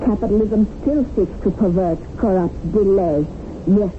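A woman's voice: medium pitch, normal speed, close to an everyday voice. Fierce, declamatory actress as young revolutionary woman. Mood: accusing, declamatory. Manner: declamatory, stilted slogans. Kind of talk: radio drama acting. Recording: very noisy, tinny old radio broadcast.

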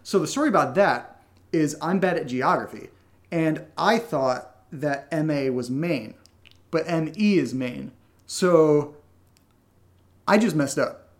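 American male podcast co-host, a little higher-pitched, loose unscripted talk, irreverent humor. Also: expressive, slow pace, long pauses. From Connecticut, United States. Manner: irreverent, wisecracking. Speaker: podcast co-host and audio drama writer. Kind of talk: casual unscripted Q&A chat.

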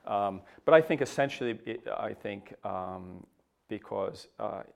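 Halting, low-voiced clergyman. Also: gravelly. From United States. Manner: hesitant, many restarts and fillers. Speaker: papal nuncio, interview guest. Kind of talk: thoughtful interview answers.

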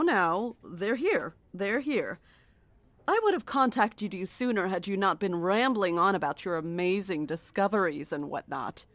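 An actress playing a smug, coolly condescending woman in control in a horror audio drama, deliberate and mock-sweet with veiled menace. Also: medium pitch, dry recording, lightly acted.

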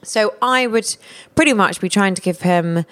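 A woman's voice: mid-range voice, normal speed. A woman telling a candid, self-mocking story in casual chat.

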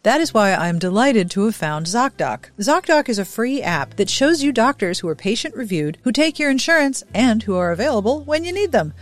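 A woman's voice: fairly high pitch, very animated, brisk, hardly any pauses. A woman hosting a podcast, reading scripted intros and sponsor spots in a chatty, emphatic way. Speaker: podcast host. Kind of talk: scripted podcast intro and host-read ads.

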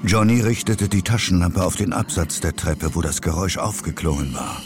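A man's voice: low voice, moderate pace, music in the background. A man narrating a suspenseful audio drama as a storyteller.

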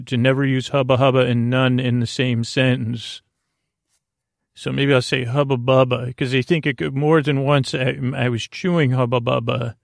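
Creaky-voiced man, soothing bedtime rambler. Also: medium pitch, no room sound, studio quality. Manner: meandering, digressive, silly, halting with self-corrections; lulling, soothing. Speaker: podcast host. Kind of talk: rambling bedtime sleep podcast monologue.

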